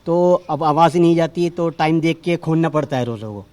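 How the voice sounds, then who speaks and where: Slightly high-voiced western UP man. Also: fairly quick, a little mellow. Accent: western Uttar Pradesh. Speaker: local resident interviewed. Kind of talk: explanatory street interview answer.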